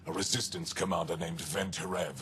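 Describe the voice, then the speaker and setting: An actor playing a gruff, guarded alien resistance commander in a video game, curt in his answers. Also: low voice, flat delivery, a little fast, a bit bright, a little hiss or hum. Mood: grim, guarded. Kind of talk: video game cutscene dialogue.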